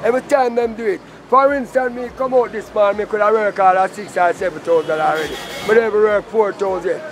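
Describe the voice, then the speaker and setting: Aggrieved high-voiced Jamaican man. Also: steady tone, fast. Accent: Jamaican Patois.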